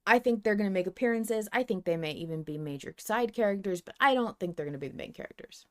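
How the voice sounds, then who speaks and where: A woman's voice: medium pitch, animated, quick pace. A woman co-hosting a podcast in casual fan discussion, informal and sweary in how she talks. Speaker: podcast co-host.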